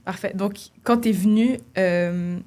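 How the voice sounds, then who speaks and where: A woman's voice: mid-range voice, fairly even intonation, takes it slowly, dark tone. Young woman hosting a podcast. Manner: friendly, informal questions with fillers. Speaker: student podcast host. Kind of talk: podcast interview.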